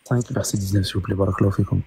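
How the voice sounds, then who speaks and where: A man's voice: low-pitched, steady tone, rapid-fire, creaky, mellow, slightly rough, dry recording. A man cutting into a debate, insistent and pressing his argument. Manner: insistent, interrupting to take the floor. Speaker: debate participant.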